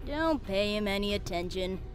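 A woman's voice: mid-range voice, lively intonation, moderate pace, soft background music, natural voice. A woman playing an androgynous thirty-year-old male hero pilot, earnest and sardonic, in a sci-fi audio drama. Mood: reassuring.

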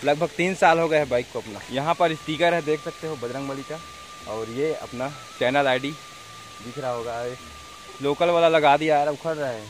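A man's voice: fairly high pitch, normal speed, a little hiss or hum, rough recording. A young man with a Chhattisgarhi accent chatting casually to camera as a village vlogger, recorded outdoors on a phone at arm's length. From India. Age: young adult. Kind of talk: casual vlog chat to camera. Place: outdoors in a rural village area.

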